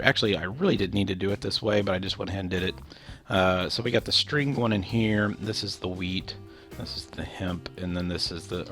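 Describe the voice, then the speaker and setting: A man with a stuffy nose from a cold, casually talking through his game with loose, rambling explanations and lots of fillers. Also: on the low side. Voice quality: nasal. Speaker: gameplay commentator. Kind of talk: casual game walkthrough commentary.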